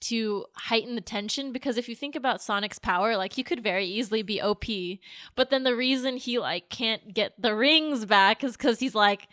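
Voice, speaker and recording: A woman's voice: fairly high pitch, normal speed, fairly bright tone, no echo, clean studio sound. A woman co-hosting a podcast, chatting casually and playfully about films and acting out quotes as she talks. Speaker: podcast co-host. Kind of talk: casual film discussion.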